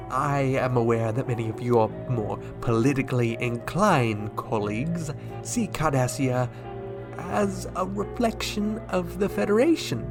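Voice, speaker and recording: A man playing a scheming, coolly menacing alien ambassador in a sci-fi radio drama, formal, deliberate and insinuating. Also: mid-range voice. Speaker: voice actor.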